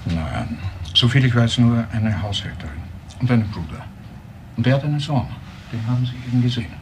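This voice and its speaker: A man's voice: medium pitch, expressive, a little fast, lightly acted. A man playing a grave, formal hospital professor in a crime drama, speaking matter-of-factly. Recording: clearly noisy background.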